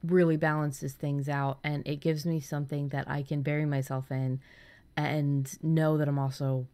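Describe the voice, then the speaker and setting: A woman's voice: deep voice, little pitch movement, moderate pace, dark tone. A woman hosting a casual writing podcast, talking candidly and enthusiastically, with some casual swearing. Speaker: podcast host and fiction writer.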